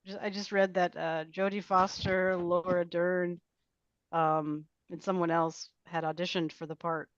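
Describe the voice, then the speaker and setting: Dull-sounding recording of a lower-voiced woman. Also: level tone, a little slow, no room sound. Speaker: table read participant. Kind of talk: casual group chat.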